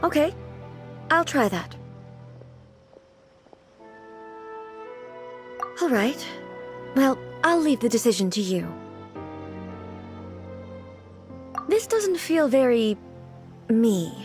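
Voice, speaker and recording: A regal female deity from a video game, formal and reserved. The voice sits somewhat high, with measured, earnest phrasing. Music runs lightly behind it. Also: very animated. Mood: awkward, self-conscious. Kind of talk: English video game voice acting.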